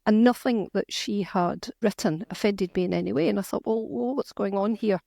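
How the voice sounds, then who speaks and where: A middle-aged Scottish woman speaks in a conversational interview, earnest and emphatic, often restarting her sentences. Her voice carries a gravelly fry. The close recording is dry, with no room sound. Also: medium pitch, moderate pace, mellow, no room sound. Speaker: author and guest.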